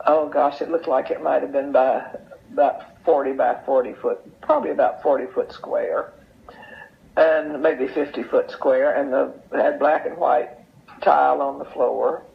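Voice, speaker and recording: An older woman tells anecdotes in an unhurried, folksy way, repeating phrases for emphasis as an interview guest. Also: very deep voice, creaky. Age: older, over 70. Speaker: interview guest sharing memories. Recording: dull, lo-fi sound.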